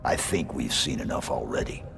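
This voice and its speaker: An actor playing a stoic, gruff armored supersoldier in a video game, terse and dry. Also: male voice, very deep voice, normal speed, a bit bright, long reverb tail, soft background music. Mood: stern, dry. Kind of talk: video game cutscene acting.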